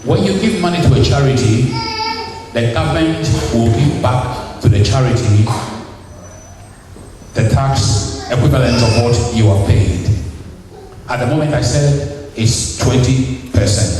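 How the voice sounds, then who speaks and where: A man's voice: medium pitch, animated, a little slow, background noise. A middle-aged man from Ghana with a Ghanaian accent, preaching a sermon in a church and firing rhetorical questions at the congregation. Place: church hall. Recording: echoey hall, mic far off.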